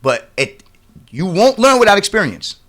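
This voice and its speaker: Informal man, halting, repetitive emphatic talk. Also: high voice, big pitch swings, a bit bright. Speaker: rapper, interview guest. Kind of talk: casual radio interview chat.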